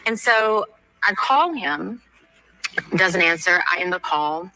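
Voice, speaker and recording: A woman's voice: on the low side, expressive, takes it slowly, bright, forceful tone, rough recording. A woman giving a casual personal account, telling events step by step in a conversational way. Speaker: interviewee recounting events.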